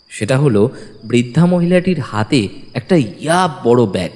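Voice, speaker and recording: A man's voice: on the high side, darkish tone. A man narrating a detective audio story in the first person, suspenseful and dramatic. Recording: long reverb, music bed. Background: music.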